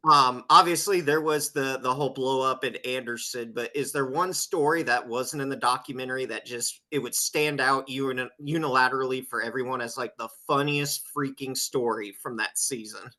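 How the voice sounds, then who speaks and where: Bright-voiced man hosting a casual chat. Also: mid-range voice, fairly even intonation, normal speed, few pauses. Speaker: podcast co-host. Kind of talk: casual chat, asking questions.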